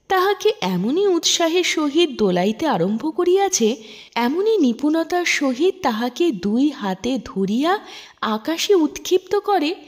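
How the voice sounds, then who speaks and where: A woman narrating a literary story in a measured, expressive, formal manner. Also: a little high. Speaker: storyteller reading a literary short story aloud. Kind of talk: scripted narration, expressive audiobook reading.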